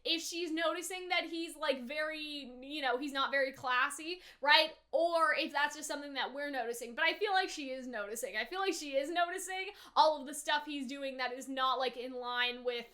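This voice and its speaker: Really high bright girly voice, woman doing a casual anime review, super animated and chatty. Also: moderate pace, few pauses. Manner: animated, acting out characters' lines in asides. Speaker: anime reaction video host.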